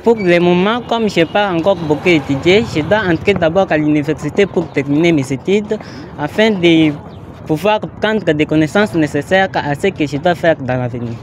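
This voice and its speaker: A teenage boy, a top exam student, answering interview questions in an earnest, measured way. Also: on the high side, noisy background. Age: late teens.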